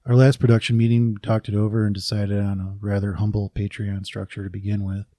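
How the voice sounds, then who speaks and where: A male podcast host with a low, relaxed voice. He delivers a conversational announcement in a dry recording. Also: fast talker, dry recording.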